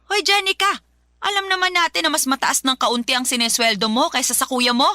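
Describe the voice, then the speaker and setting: A woman's voice: sits high, brisk, no room sound, clean studio sound. A woman playing a harsh, scornful mother in a Tagalog radio drama, scolding and badgering for money. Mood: irritated, demanding. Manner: scolding, sharp-tongued, badgering.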